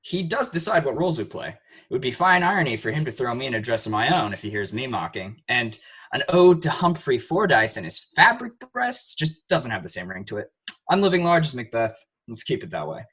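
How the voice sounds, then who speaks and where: A man's voice: mid-range voice, very animated, fairly quick, a bit bright, husky. A man playing a cocky, flirtatious, mocking Shakespearean actor in an audio play, with theatrical, pompous delivery. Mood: mocking banter. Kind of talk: amateur audio play acting.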